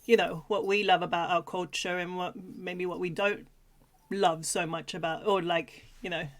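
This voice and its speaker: A woman's voice: lowish voice, fairly even intonation, normal speed. A woman from Sweden co-hosting a livestream in a casual, chatty, giggly manner. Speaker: co-host of a livestream talk show.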